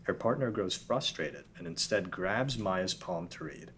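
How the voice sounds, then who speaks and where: A man reads the stage directions of a script plainly at a table read. His voice is very deep. Faint room noise sits behind him. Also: expressive, a little slow, fairly bright tone. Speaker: narrator.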